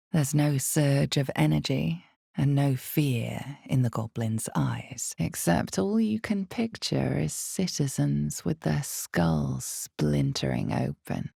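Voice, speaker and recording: A knowing, ominous female narrator for a dark fantasy video game. Her voice is strikingly low and thick with gravel. She speaks slowly and deliberately, letting suspense hang in the pauses. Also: dry recording, studio quality, exaggerated voice. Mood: grave, intense.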